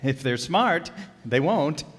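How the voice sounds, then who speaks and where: A man's voice: a little high, expressive, slow pace. A man playing a brazen, flippant, freeloading pal in a radio comedy re-creation. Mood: cheeky, brazen. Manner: cheeky, flippant. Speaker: radio player. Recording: reverberant hall, live stage performance.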